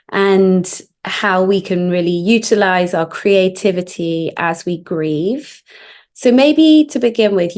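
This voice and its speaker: A woman's voice: fairly low pitch, a little mellow. Welcoming female podcast host. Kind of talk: interview hosting.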